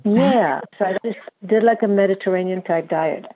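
A woman calling in to a show, speaking hesitantly with a slightly raspy voice and many fillers. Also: medium pitch, moderate pace. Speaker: caller. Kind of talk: call-in conversation. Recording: muffled lo-fi audio.

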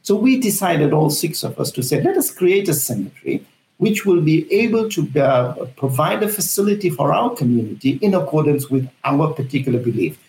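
An older man from Zambia, answering interview questions earnestly, with frequent pauses and fillers. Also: fairly high pitch, lively intonation, a little mellow. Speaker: guest, cemetery founder. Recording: echoey room.

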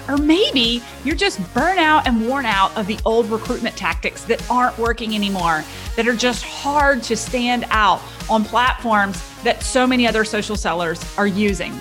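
A woman with a fairly high voice reads an upbeat promo. She pitches straight to the listener, and faint music plays under her. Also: fairly bright tone. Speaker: podcast host reading a promo. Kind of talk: promotional ad read.

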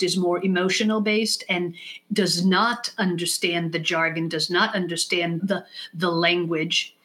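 A woman giving explanatory advice as an expert podcast guest, speaking deliberately and emphatically, often in lists. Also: lowish voice, a bit bright. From born in the United States, raised in Israel from age six.

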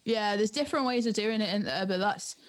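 A woman's voice: medium pitch, brisk. A young woman with a northern accent from the North East of England, chatting casually in a giggly, slangy, informal way. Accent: Northern English, North East. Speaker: DJ and music producer. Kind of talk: casual chat.

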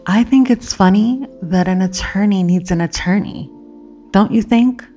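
An actress playing a seductive, clingy lover in an audio drama, speaking in a sultry, coaxing, husky voice. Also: female voice, mid-range voice, moderate pace, warm tone, faint music behind, close to an everyday voice. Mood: playful, needy.

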